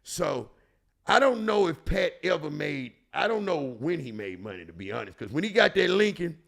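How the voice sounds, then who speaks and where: A man's voice: fairly high pitch, sing-song, normal speed, creaky, a bit bright. A man with a Houston, Texas accent, telling street stories casually in slangy, profane language with emphatic repetition. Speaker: storyteller and show regular.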